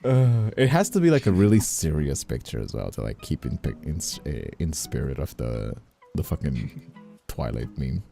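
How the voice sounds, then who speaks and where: Male streamer, casual and sweary, very low bright voice with lively swings, light music underneath. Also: moderate pace, creaky. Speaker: streamer, VTuber host. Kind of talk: casual livestream chat, giggly banter while working.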